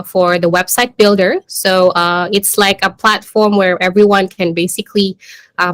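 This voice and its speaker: A woman's voice: lowish voice, a bit bright, clean tone, no echo. A woman giving an informal business presentation in a hesitant, conversational way, leaning often on "you know" and "like". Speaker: startup country manager presenting.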